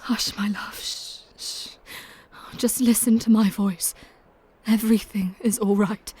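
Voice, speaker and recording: An actress plays a devoted young wife in a Victorian gothic drama. She speaks in a medium-pitched woman's voice, tender and earnest, with an anxious undercurrent and formal, old-fashioned phrasing. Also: fairly even intonation, takes it slowly, darkish tone, breathy edge. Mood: soothing, worried. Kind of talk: gothic audio drama acting.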